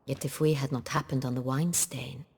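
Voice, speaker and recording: A woman's voice: a bass voice, fairly even intonation, moderate pace, creaky, a little mellow, slightly rough, heavily acted. An actress playing an imperious, impatient noblewoman ruler in a video game, speaking in formal, commanding, archaic phrases. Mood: anxious, impatient. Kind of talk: dramatic video game acting.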